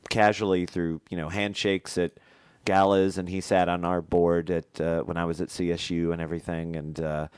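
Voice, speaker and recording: A man's voice: low-pitched, level tone, normal speed, few pauses, a little mellow, smooth, no room sound, clean studio sound. A man in his thirties co-hosting a casual podcast, congested from a head cold and rambling through many fillers. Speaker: podcast co-host. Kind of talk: casual chat.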